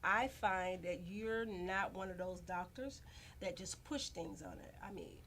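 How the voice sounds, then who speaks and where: A woman's voice: on the low side, very animated, a little slow, a bit bright. A woman hosting a talk show in a casual, informal and folksy way, addressing people effusively. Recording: noticeable background noise.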